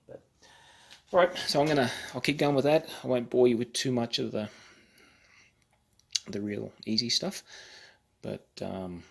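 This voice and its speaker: Halting, low creaky-voiced man. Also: sharp tone. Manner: halting, thinking aloud as he works. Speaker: hobbyist narrating an electronics kit build. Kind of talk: casual unscripted how-to commentary.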